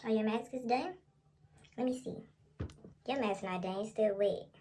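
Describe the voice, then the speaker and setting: A woman's voice: a little high, normal speed, dark tone. A young woman vlogger talking casually in a playful, slangy way, as in an ASMR role play.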